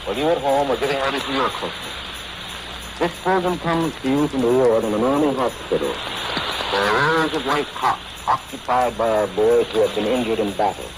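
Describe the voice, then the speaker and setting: A man's voice: medium pitch, a little fast, music in the background. An American man speaking in a 1940s radio broadcast style from the front, over a noisy shortwave relay. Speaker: war correspondents and servicemen at the front. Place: outdoors or on location in the Pacific.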